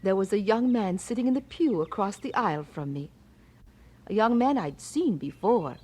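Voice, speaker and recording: Elderly mother, eighties, husky, animated intonation, wistful storyteller, fond, radio-play acting. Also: medium pitch, normal speed. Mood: tender, nostalgic. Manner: wistful, gently dramatic storytelling with sighs. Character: frail, fond old missionary mother in her eighties, reminiscing.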